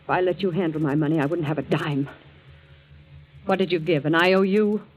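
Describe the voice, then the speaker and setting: An actress playing a bitter, ailing rich wife who scolds sharply in a 1940s radio drama. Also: female voice, low voice, fairly quick, muffled recording, soft background music. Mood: scornful anger. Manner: sharp, scornful, accusing. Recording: old 1940s radio broadcast.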